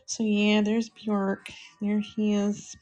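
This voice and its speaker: A middle-aged woman chatting softly and unhurriedly with viewers while she draws on a livestream. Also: mid-range voice, monotone, slow. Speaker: live-streaming artist. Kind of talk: casual livestream chat while drawing.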